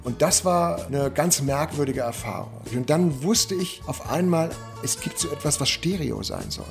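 Gravelly man reminiscing over faint music. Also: on the high side, animated, moderate pace. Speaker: theatre dramaturg, interviewee. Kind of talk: personal reminiscence in an interview.

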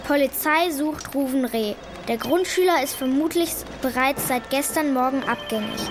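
A woman's voice: sits high, normal speed, noisy background, lightly acted. A female performer playing an earnest, insistent young schoolgirl in a German children's radio play, blunt and worried. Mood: reading aloud. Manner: blunt, insistent, hesitant when unsure.

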